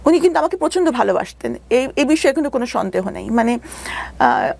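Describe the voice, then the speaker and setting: High-voiced, creaky Bangladeshi woman telling her story. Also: big pitch swings, fast talker, a little mellow. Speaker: interview guest telling her life story; doctor. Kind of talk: candid personal storytelling in a radio interview. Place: radio studio.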